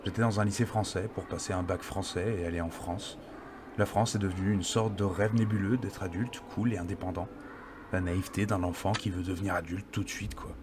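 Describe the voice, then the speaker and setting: Deep-voiced young man narrating calmly. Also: a little fast, background noise. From Mexico. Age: young adult. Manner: calm, introspective voice-over. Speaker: filmmaker narrating his documentary. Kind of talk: scripted reflective narration. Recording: voice-over with loud music behind.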